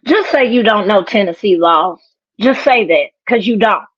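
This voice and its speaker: A woman's voice: medium pitch, animated, normal speed, husky, dry recording. A woman caller, blunt and scolding, swearing heavily in casual, heated talk over dull call audio. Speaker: guest caller.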